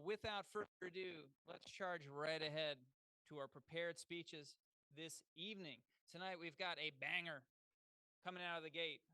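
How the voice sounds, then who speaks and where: A man's voice: on the high side, fairly bright tone, clean tone, no echo, poor audio. A man acting as toastmaster at a club meeting, introducing speakers with upbeat hype.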